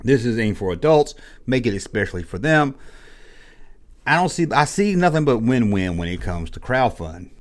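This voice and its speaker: A man hosting a toy collecting channel, ranting bluntly in a casual, exasperated way, his voice hoarse from being sick. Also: medium pitch, sing-song, moderate pace. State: hoarse, losing his voice after illness. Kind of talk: casual opinion rant to camera.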